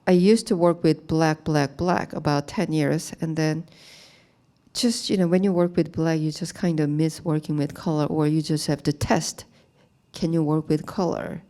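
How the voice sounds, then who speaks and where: Halting, soft-voiced woman artist. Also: low-pitched, steady tone. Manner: halting, plain non-native English with many "you know" fillers. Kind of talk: gallery talk about her art. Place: gallery, speaking to an audience.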